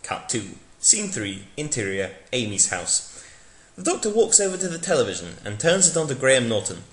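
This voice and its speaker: A man's voice: mid-range voice, very animated, moderate pace, fairly bright tone. A man narrating a mock-dramatic reading of fan fiction in a theatrical manner. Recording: echoey room.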